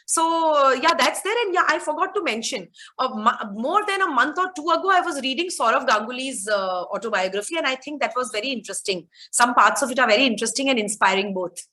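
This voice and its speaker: Bright high-voiced Indian woman author. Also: animated, fast talker, few pauses. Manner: rambling, thinks aloud with frequent restarts. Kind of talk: conversational interview answers.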